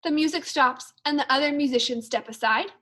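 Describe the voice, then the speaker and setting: A woman teacher reading a storybook aloud for young children, expressively and in measured phrases. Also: sits high, sharp tone. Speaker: second grade teacher.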